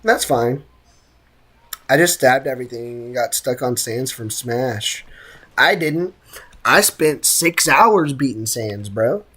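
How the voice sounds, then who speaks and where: Female game streamer with a very deep voice, casual, slangy, joking commentary to chat. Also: lively intonation, slow, a bit bright, husky. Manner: joking, slangy banter with viewers.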